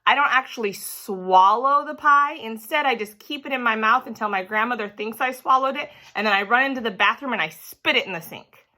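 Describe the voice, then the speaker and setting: A woman voicing an eager, chatty little schoolboy in a children's story, excitable and childlike. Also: a little high, brisk, few pauses. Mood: excited.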